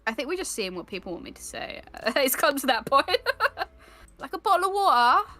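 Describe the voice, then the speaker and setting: A woman's voice: sits high, very animated, a little fast, pushed and bright, faint music behind. A young British woman streaming, chatting casually and playfully, reading out viewer comments with frequent laughs. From Britain. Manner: playful, giggly, reads chat aloud. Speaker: vtuber streamer.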